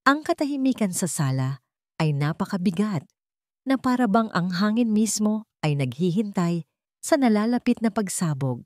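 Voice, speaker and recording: A woman narrating a dramatic scripted story in slow, deliberate phrases with pauses. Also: medium pitch, big pitch swings, a little mellow, dry recording, clean studio sound.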